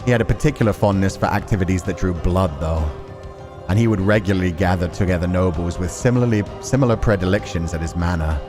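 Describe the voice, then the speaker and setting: A man's voice: low voice, fast talker, over music, lightly acted. A man reading the part of a bitter, ambitious man from the slums, telling his past grimly in a visual novel.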